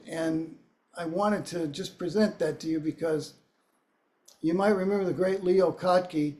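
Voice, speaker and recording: A hoarse man with a cold, a singer-songwriter introducing his song with wry, dry humor. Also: a little high, a little mellow. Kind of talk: relaxed spoken song introduction. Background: guitar playing.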